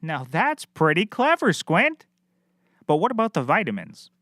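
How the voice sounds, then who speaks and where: High, eager, bumbling detective. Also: male voice, wildly swooping pitch, unhurried, a bit bright, dry recording, clean studio sound, heavily acted. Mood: puzzled. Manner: chatty, earnest, naively confident. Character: bumbling, eager, clueless male private investigator. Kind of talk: scripted comedy audio drama.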